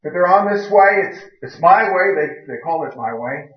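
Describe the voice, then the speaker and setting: A man's voice: a little high, normal speed. Male pastor, casual preaching that builds for emphasis; roomy, distant, muffled recording. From raised in the country where he speaks, years in Taiwan. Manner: relaxed, conversational preaching with rising emphasis. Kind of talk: sermon. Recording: echoey room, distant mic, dull sound.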